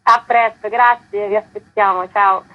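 A woman's voice: medium pitch, fairly even intonation, measured pace, highs cut off, soft background music. A woman, a theatre artist, explaining things conversationally as a guest in a radio interview.

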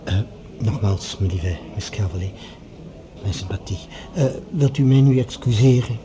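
An actor playing a smooth, calculating male professor who speaks formally and oratorically, in radio drama style. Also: fairly low pitch, moderate pace, echoey room, crowd noise, natural voice. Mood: polite condolence.

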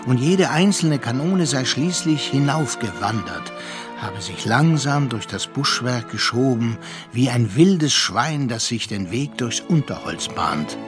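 An actor playing a worldly narrator in a radio drama, telling the tale in a measured, literary way. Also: male voice, mid-range voice, animated, lightly acted. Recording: music behind the voice.

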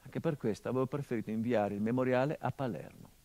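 A man's voice: medium pitch, quick pace, lightly acted. A man playing a brooding, bitter grieving son. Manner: brooding and bitter, swinging from hushed to impassioned. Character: grieving middle-aged son of a murdered journalist. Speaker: actor in a stage monologue. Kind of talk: theatrical monologue broadcast on radio.

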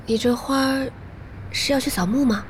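A woman's voice: high-pitched, normal speed, noisy background, lightly acted. A woman playing a friendly, polite young woman in a radio drama. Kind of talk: Chinese radio drama acting.

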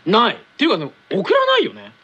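二十代後半の若々しい声の男性が、ラジオで体験談をくだけた調子で話す。. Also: male voice, very high voice, wildly swooping pitch, fairly quick, highs cut off, a loud crowd. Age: late 20s. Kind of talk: casual radio chat, telling anecdotes.

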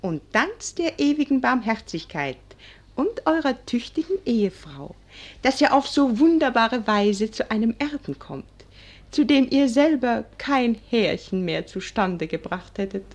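A cheeky young wife in a vintage radio play. Her voice is high and slightly husky, swooping widely. She is coy and sing-song, quick to scold. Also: sing-song, exaggerated voice. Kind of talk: 1940s German radio play acting.

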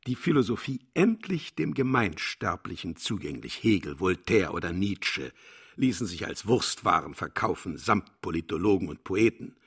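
Husky, pompous, cynical philosopher. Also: male voice, low-pitched, very animated, normal speed, fairly bright tone, heavily acted. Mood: self-satisfied, lecturing. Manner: declamatory, grandiose, lecturing. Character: pompous, cynical male philosopher, vain and contemptuous. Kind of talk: German radio play acting.

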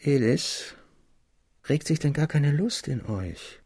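Man acting a soft, gentle tavern girl. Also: fairly high pitch, expressive, slow pace, husky, dry recording, clean studio sound, pitched above the performer's own voice, full character voice. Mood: coaxing. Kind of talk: audiobook character acting.

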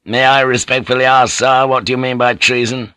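A man's voice: mid-range voice, little pitch movement, brisk, sharp tone, clear, resonant tone, no echo, pitched above the performer's own voice, lightly acted. A man voicing a proud, defiant older samurai general in an audiobook, formal, emphatic and icy. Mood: proud, stiff. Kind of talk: dramatic audiobook character reading.

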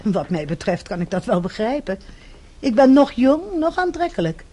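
A woman's voice: on the high side, sing-song, normal speed, mellow. Velvety, haughty young Frenchwoman. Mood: haughty, teasing. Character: self-assured, catty young French mademoiselle. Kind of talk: Dutch radio play acting.

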